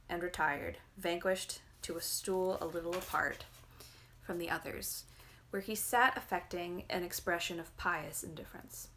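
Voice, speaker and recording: Lower-pitched woman reading a novel aloud. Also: a bit bright. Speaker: reader. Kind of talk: literary storybook reading aloud.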